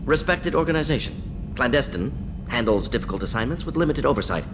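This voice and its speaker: An actor playing a brisk, eager alien scientist in a video game, talking in clipped, telegraphic, analytical bursts. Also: male voice, mid-range voice, expressive, background noise, lightly acted. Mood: brisk, clinical. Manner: clipped telegraphic sentences, rapid-fire, analytical. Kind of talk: video game character dialogue. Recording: game audio over humming ambience.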